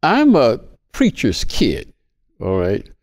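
A man in his sixties, mid-pitched, tells of his calling to the ministry with earnest, measured conviction. The sound is dry, with no room around it. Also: wildly swooping pitch, no echo. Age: 60s. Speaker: pastor, guest. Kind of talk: interview talk.

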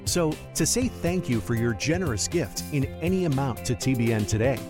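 Guy doing a promo voice-over, smooth and steady, music behind him. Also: mid-range voice, normal speed. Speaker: announcer.

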